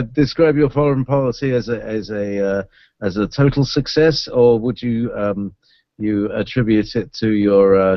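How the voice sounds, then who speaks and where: A man hosting a casual internet radio chat over a video call, rambling and halting with lots of fillers. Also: medium pitch, no echo, muffled recording.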